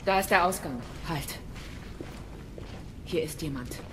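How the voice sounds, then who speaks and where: Wry, composed rebel woman fighter for audio drama, lowish voice, noisy ambience behind. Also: slow. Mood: focused. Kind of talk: German audio drama acting.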